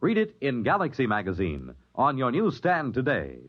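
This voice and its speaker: A man's voice: medium pitch, huge pitch swings, moderate pace, muffled recording. A man announcing formally from a script in a declamatory 1950s radio style, on an old broadcast recording. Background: music.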